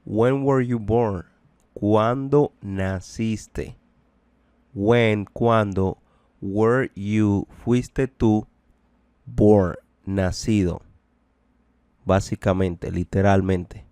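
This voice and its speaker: A man with a fairly low voice gives a bilingual language lesson. He speaks very slowly and deliberately, translating and repeating each phrase. The sound is dry, with little room around it. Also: fairly even intonation, warm tone, clean tone, no room sound. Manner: deliberate, repetitive, explanatory. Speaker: English teacher.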